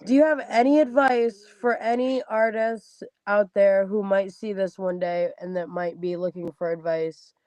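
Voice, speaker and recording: A woman's voice: medium pitch, fairly even intonation, normal speed, hardly any pauses, darkish tone, no room sound, rough recording. A woman hosts a live podcast and asks her guest questions in an upbeat, affirming way. Her voice sits in a middle register. The recording is dry and close. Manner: encouraging, upbeat, affirming interviewer. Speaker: podcast host.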